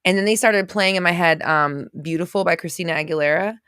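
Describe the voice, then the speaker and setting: A woman's voice: on the low side, a bit bright, smooth, no room sound. Chatty woman with a dry, close sound. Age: 30s. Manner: chatty, laughs often, reads comments aloud with quick reactions. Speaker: livestream host reading viewer comments. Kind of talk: casual livestream chat.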